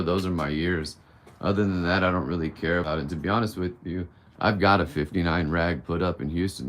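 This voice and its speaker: A man around fifty hosting a casual live-stream Q&A, candid and blunt, rambling and swearing casually. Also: low-pitched. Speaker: livestream host answering viewer questions.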